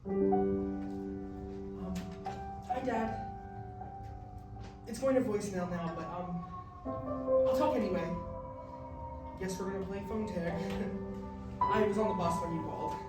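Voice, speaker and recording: A woman's voice: mid-range voice, slow pace, pauses often, lightly acted. A young woman playing an earnest, rambling, self-deprecating male college student in a staged musical reading. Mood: awkward, rambling. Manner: hesitant, self-deprecating, chatty. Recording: very echoey room, far mic, music.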